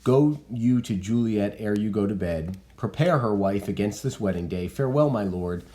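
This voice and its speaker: A bossy older father giving orders in a spoken classroom reading, voiced by a man. He commands slowly and emphatically. The voice is low and dark. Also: natural voice. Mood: commanding.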